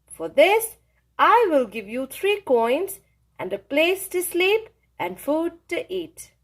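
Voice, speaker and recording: A woman's voice: sits high, sing-song, slow, voice pushed up, exaggerated voice. A woman voicing a bossy but kindly old village grandma witch in a storybook, commanding and emphatic. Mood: firm, instructing.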